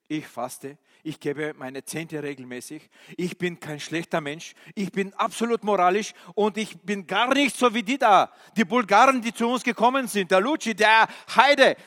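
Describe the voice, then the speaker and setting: A man's voice: high-pitched, a bit bright. A non-native German-speaking preacher playing a smug, self-righteous male Pharisee who boasts in prayer. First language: not German. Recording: reverberant hall.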